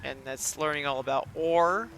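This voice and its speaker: A man's voice: a little high, normal speed, fairly bright tone, poor audio. A man giving casual let's play commentary in a rambling, self-interrupting way, with game music behind him. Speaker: gaming video host. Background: video game music and dialogue.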